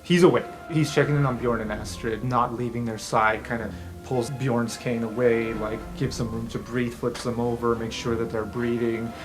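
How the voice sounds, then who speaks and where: A man's voice: mid-range voice, fairly even intonation, moderate pace, over music. A man running a tabletop game, narrating the scene casually with plenty of fillers. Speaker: game master.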